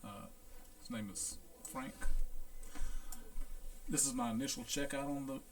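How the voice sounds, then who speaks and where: A man talking through a hands-on equipment check as a repair technician, in a casual, unhurried, halting way, thinking aloud. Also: mid-range voice. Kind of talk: casual hands-on demonstration narration.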